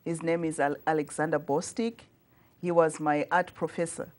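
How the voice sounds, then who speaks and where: A woman in her forties with a Zimbabwean accent, an artist and studio guest, telling her story in a calm, measured way into a lapel mic. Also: low voice. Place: small TV studio.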